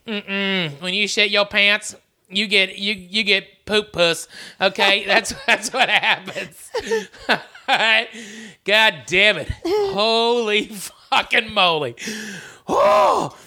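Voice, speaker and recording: A woman's voice: mid-range voice, very animated, slow pace, pushed and bright. A woman podcast host, riffing crudely and profanely, exaggerating everything and laughing through her lines.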